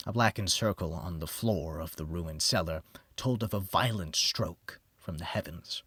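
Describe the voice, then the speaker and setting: A man narrating a gothic horror audiobook in the first person, with a theatrical, solemn, deliberate delivery. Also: sits low, a bit bright, slightly rough, no echo. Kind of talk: dramatic scripted narration of a gothic horror story.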